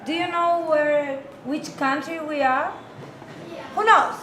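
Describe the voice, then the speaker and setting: A woman in a school hall quizzing children in a slow, coaxing, teacherly way. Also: sits high, fairly even intonation. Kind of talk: quizzing an audience. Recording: echoey room, background noise.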